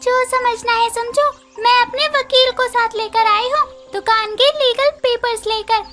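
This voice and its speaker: A woman's voice: extremely high pitch, steady tone, unhurried, sharp tone, faint music behind, full character voice. A woman voicing a small, assertive female bird who runs a shop in a children's cartoon, speaking emphatically. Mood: indignant, demanding.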